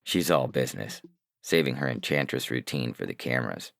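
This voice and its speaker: A man's voice: extremely low pitch, lively intonation, brisk, gravelly, a bit bright, no room sound, clean studio sound. A man narrating a scripted first-person science fiction story as its reader. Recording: music bed under the voice. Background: music.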